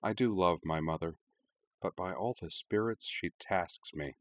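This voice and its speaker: A man's voice: low-pitched, animated, moderate pace, no room sound. A man voicing an exasperated young woman in an audiobook, clipped, firm and dryly sarcastic. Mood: weary. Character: young woman, sharp-tongued, exasperated with her mother. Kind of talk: audiobook character voice.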